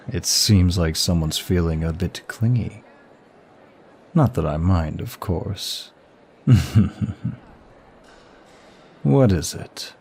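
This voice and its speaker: A man's voice: low-pitched, gravelly, mellow, slight background noise, heavily acted. A man playing a seductive, teasing, ancient demon of lust in an intimate audio roleplay, lingering sultrily over his words. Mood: teasing, flirtatious. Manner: slow, sultry, lingering delivery. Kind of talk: intimate ASMR roleplay acting addressed to listener.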